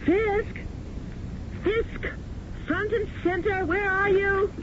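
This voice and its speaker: A woman's voice: very high-pitched, fairly even intonation, extremely slow, noisy background, exaggerated voice. An actress playing a frightened stage actress in her forties in a 1970s radio drama, anxious and pleading. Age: 40s. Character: frightened celebrated actress of forty. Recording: muffled old radio broadcast with music.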